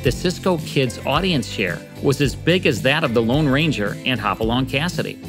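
Man, fifties, podcast host, steady scripted narration, studio desk mic. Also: mid-range voice, moderate pace. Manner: steady, informative storytelling. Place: studio set with a table. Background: music.

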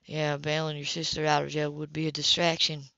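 A woman's voice: deep voice, monotone, fairly quick, gravelly, a bit bright, dry recording, highs cut off, voice pushed down. A woman reading a blunt, sardonic man who is guarded about his family, in a terse, challenging manner for an audiobook. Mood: curt, sardonic.

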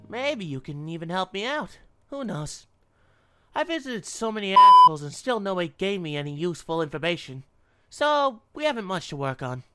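A woman's voice: mid-range voice, close to an everyday voice. A woman voicing a sassy, defiant young male spider demon in a cartoon dub, with sarcastic, flippant delivery. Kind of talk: cartoon comic dub acting.

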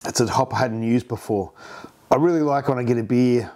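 A man's voice: medium pitch, a little fast, mellow. A man in his fifties with an Australian accent, hosting a home brewing vlog from his home bar in a chatty, rambling way full of ums and restarts. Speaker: home brewing channel host. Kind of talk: casual beer review vlog. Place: home bar room, indoors.

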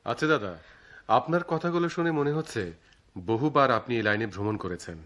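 A man's voice: mid-range voice, sing-song, fairly quick, fairly bright tone. An actor playing a curious, easily amused young male traveller in a radio drama, with an interested, questioning manner.

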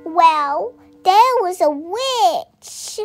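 A young-sounding speaker with a soft, childlike manner, acting out family dialogue in an improvised roleplay story. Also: very high-pitched, animated, very slow, soft background music. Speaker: voiced roleplay video creator.

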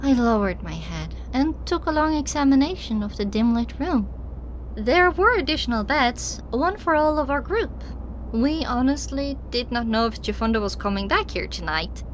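Higher-voiced woman narrating a story, noisy recording. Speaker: audiobook narrator. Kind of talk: first-person scripted story narration. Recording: clearly noisy background.

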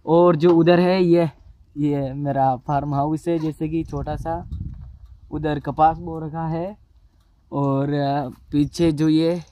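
A man's voice: fairly high pitch, a little mellow, dry recording. A young man from Rajasthan, India, vlogging casually outdoors on his farm with a lapel mic, chatting in a friendly way and asking a child questions. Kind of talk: casual village vlog.

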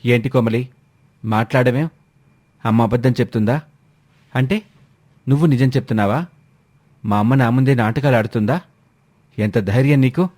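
A man's voice: medium pitch, moderate pace, pauses often, a little mellow, lightly acted. A man voicing an angry young husband in a dramatised moral story, demanding answers with disbelief. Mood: angry, doubting. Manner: demanding, incredulous. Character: angry, stern young husband questioning his wife.

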